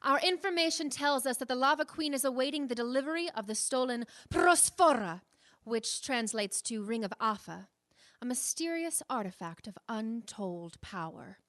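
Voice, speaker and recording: An actress playing a proud, no-nonsense anthropologist adventurer, assertive and precise, in a sci-fi audio drama. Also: female voice, on the high side, a bit bright, no room sound, clean studio sound.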